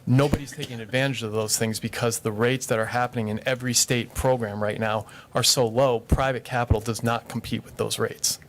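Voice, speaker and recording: A man's voice: medium pitch, steady tone, a little fast, hardly any pauses, a bit bright, a little hiss or hum. A man answering questions at a city council meeting, firm and matter-of-fact, explaining at length. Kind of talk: public meeting testimony.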